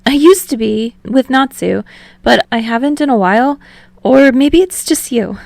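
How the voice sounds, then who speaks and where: Woman voicing an energetic young man, lifted voice. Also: a little high, a little slow, gravelly, dry recording, pitched above the performer's own voice. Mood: sheepish. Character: energetic, friendly, slightly unsure young man. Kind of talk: fan fiction audiobook dialogue.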